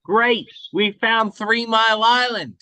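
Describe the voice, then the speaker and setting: A man playing a sarcastic kid who mimics an ominous British pirate at a table read, halting and drawn out. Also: high voice, sharp tone, smooth, exaggerated voice. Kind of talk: table read of a film script.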